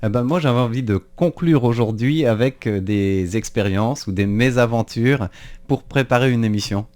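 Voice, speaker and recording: A man's voice: mid-range voice, moderate pace, a little mellow. A man presenting a radio show in a casual, conversational way.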